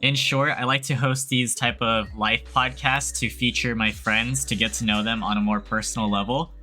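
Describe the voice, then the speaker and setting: A man hosting a casual livestream podcast, speaking in a relaxed way with friendly slang. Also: mid-range voice, moderate pace, faint music behind. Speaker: podcast host.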